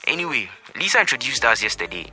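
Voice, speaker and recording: An actor with a Ghanaian accent playing an eager, polite young student musician on a phone call in an audio drama. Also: male voice, medium pitch, sing-song, quick pace, pushed and bright. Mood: chatty, smitten. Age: young adult.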